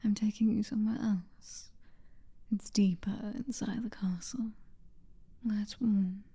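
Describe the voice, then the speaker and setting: A woman with a British accent voicing a possessive, centuries-old female vampire noblewoman in a slow, intimate whispered roleplay. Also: medium pitch, fairly even intonation, mellow, heavily acted. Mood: teasing. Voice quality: breathy whisper. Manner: slow, intimate, teasing and controlling. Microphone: close microphone.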